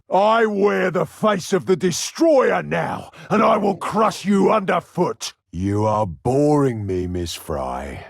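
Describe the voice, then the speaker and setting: Sadistic serial killer, video game villain, gravelly, wildly swooping pitch, theatrical, menacing, taunting. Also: male voice, dry recording, studio quality, exaggerated voice. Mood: grandiose, menacing. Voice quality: raspy, gravelly. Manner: taunting, theatrical, drawn-out delivery.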